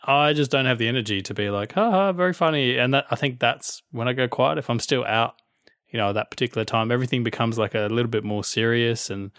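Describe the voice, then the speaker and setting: A joking man in his thirties, co-hosting a relaxed podcast chat. His voice sits at a middle pitch, and he teases as he talks. The recording is dry and close to the mic. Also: fast, smooth, no echo. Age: 30s. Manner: joking, teasing. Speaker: podcast co-host. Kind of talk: casual chat.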